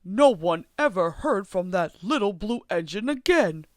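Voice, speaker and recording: A woman's voice: medium pitch, lively intonation, measured pace, no room sound, lightly acted. A woman voicing a cheeky male prankster train engine in a storybook, theatrical and taunting, over music. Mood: ominous storytelling. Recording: music under the voice.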